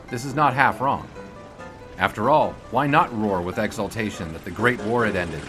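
A man's voice: fairly low pitch, close to an everyday voice. An actor playing a cocky, flippant 1920s sportswriter in a period audio drama, wry and old-fashioned in his storytelling. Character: 1920s sportswriter, cocky, flippant charming man looking back. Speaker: narrator and lead character. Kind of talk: scripted period audio drama narration and dialogue.

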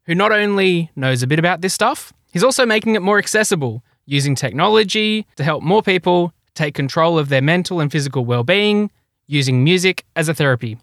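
A man's voice: on the high side, animated, a little fast, a bit bright, no echo, clean studio sound. A man hosting a health technology podcast, upbeat and chatty as he introduces his guest. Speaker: podcast host. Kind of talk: podcast interview.

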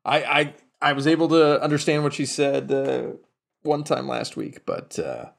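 A man playing a tabletop role-playing game, talking casually as his character in a halting way, repeating words as he thinks aloud. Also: mid-range voice, expressive, gravelly.

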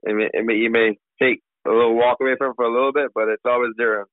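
A man's voice: mid-range voice, fairly even intonation, quick pace, no room sound. A man in his thirties, a racehorse trainer, answering interview questions in a casual way with many restarts. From Barbados. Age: 30s. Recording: tinny phone line, dry.

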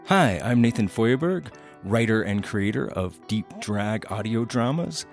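Man reading a lively scripted promo. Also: lowish voice, unhurried, over music. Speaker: writer and creator of audio dramas.

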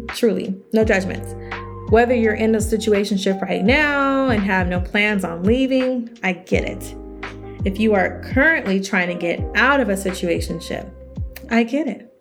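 Chatty woman podcast host, slightly scratchy. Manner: chatty, animated, quotes others in higher voices. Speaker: podcast host, self-worth coach. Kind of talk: casual solo podcast talk.

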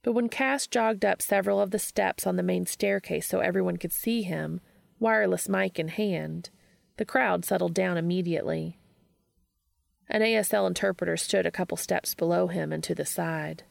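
A woman reads a story aloud in a lowish voice full of heavy vocal fry. The recording is close and dry, and she delivers scripted prose as an audiobook narrator. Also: moderate pace, no room sound. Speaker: fan fiction audiobook narrator.

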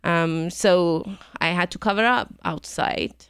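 A middle-aged woman from Iran talks through her memories with frequent ums and easy laughter. Her voice sits on the low side with a gravelly edge, yet stays smooth and clear. The recording is close and dry. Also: takes it slowly, dry recording. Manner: hesitant, many ums, laughs easily. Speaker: guest. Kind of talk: conversational interview.

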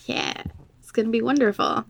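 A woman's voice: fairly high pitch, fairly even intonation, moderate pace, gravelly. A woman hosting a podcast interview, putting questions to her guests in a conversational way.

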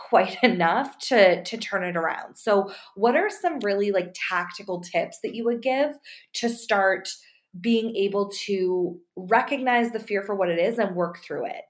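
A woman hosting a podcast interview, asking questions in a chatty, affirming way with many fillers. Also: medium pitch. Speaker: podcast host.

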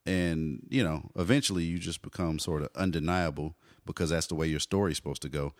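A man in his forties, a hip hop artist telling stories in a relaxed interview, dropping "you know" often. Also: a bass voice, fairly quick, no echo, clean studio sound. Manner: laid-back storyteller, frequent "you know". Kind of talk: casual conversational interview.

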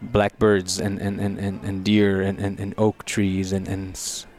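Soft, low, flat-voiced Brazilian-raised man. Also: measured pace. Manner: thoughtful, repeats words while searching for them. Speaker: musician guest. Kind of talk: conversational interview.

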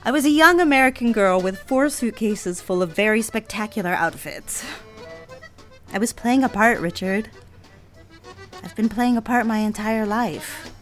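A woman's voice: a little high, expressive, moderate pace, natural voice. Actress as a coy, lovestruck young woman over music. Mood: wistful, confiding. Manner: coy, teasing, girlish sophistication. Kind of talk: audio drama romantic phone dialogue.

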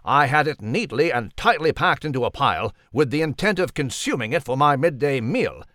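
A man voicing a fussy, wordy gentleman mouse with a British accent in a comic podcast skit. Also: mid-range voice, animated, a little fast, no echo, studio quality. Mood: stuffy. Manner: pompous, wordy, formal.

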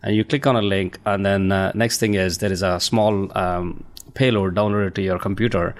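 A man's voice: sits low, steady tone, normal speed. A middle-aged man from India with an Indian accent, chatting as a podcast guest, with many fillers and laughs between points. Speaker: guest, cybersecurity company founder. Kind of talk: casual podcast interview.

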